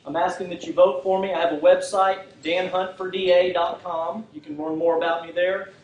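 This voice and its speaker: A man's voice: high voice, flat delivery, normal speed, muffled recording. A man giving a folksy campaign speech with lots of "uh" fillers and restarts, speaking in a community hall without a microphone. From Fort Worth, Texas. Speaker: political candidate. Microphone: no microphone, recorded from far off. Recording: echoey hall from far off, faint hiss.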